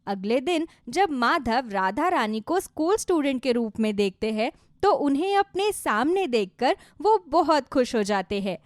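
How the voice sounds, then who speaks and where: A woman's voice: high-pitched, big pitch swings, measured pace, hardly any pauses, no room sound, clean studio sound. A woman narrating a scripted moral story for children.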